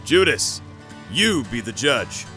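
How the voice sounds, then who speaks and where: Man acting a bright, boisterous drinking buddy. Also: mid-range voice, very animated, slow, soft background music, heavily acted. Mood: challenging. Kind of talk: audio drama acting.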